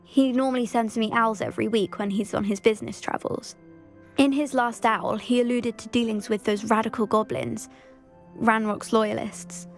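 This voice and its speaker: A woman's voice: fairly high pitch, fairly even intonation, moderate pace, faint music behind, lightly acted. An actress playing an anxious, earnest teenage schoolgirl in a video game, well-spoken. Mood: worried. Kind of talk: video game cutscene acting.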